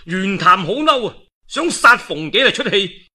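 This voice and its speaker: A man's voice: high-pitched, big pitch swings, measured pace, sharp tone. A male storyteller with a Cantonese accent, narrating a historical novel in a colloquial, dramatic oral style. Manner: colloquial, dramatic delivery with interjections.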